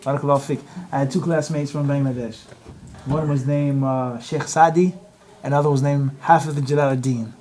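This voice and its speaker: A man's voice: medium pitch, fairly even intonation, brisk, darkish tone. A man teaching a class, chatting with his students in a teasing way and dropping Arabic phrases into his speech. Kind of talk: classroom talk and lecture.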